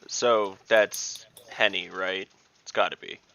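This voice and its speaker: A man's voice: low voice, fairly even intonation, takes it slowly, vocal fry, pushed and bright. A man chatting casually as a player in an online tabletop game. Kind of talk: casual chat. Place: online voice call. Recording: dull lo-fi online call, keyboard typing.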